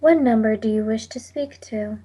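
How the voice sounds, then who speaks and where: An actress playing an impassive telephone operator, speaking with measured, formal politeness in 1940s radio drama style. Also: female voice, a little high, warm tone, breathy edge. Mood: detached, polite. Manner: measured, detached, scripted politeness.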